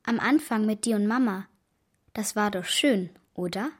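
A woman's voice: medium pitch, normal speed, breathy edge, close to an everyday voice. A female performer playing an earnest, insistent young girl in a German children's radio play. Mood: curious, hopeful.

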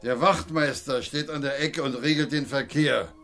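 Man as curt, mid-pitched police detective. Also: moderate pace, fairly bright tone, soft background music, close to an everyday voice. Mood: curt, irritated. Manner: curt, pedantic, correcting. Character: stiff male police detective sergeant, insistent on rank. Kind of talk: radio play acting.

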